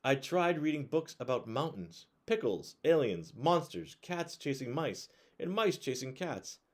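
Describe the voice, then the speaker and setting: A man reading a storybook aloud to children in an expressive way, pausing to put questions to his young listeners. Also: medium pitch. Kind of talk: read-aloud storytelling to children, with conversational asides.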